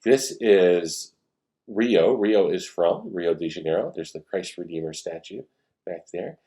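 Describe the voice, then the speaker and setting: Low, mellow male author reading aloud. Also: a little slow. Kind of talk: informal introduction and storybook read-aloud.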